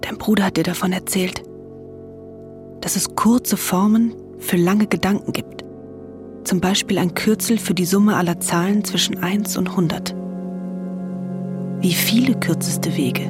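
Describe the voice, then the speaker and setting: An actress playing a composed narrator in a German radio drama, delivering literary second-person narration in measured, deliberate phrases. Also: female voice, lowish voice, natural voice. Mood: composed, reflective. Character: composed woman narrator addressing a heroine as you. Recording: loud music behind the voice.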